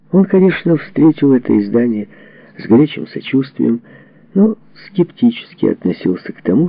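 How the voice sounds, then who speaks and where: A man reading a memoir as a scripted audiobook narration, with measured, dramatic phrasing and expressive delivery. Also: mid-range voice, vocal fry, no room sound. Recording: dull, muffled lo-fi audio.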